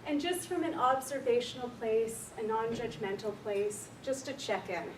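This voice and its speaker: A woman counsellor with a quiet voice leading a slow, gentle guided meditation for a workshop audience. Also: on the high side, expressive, background noise. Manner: soft, slow, gentle and unhurried. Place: large echoey room with an audience. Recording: echoey room recorded from far off.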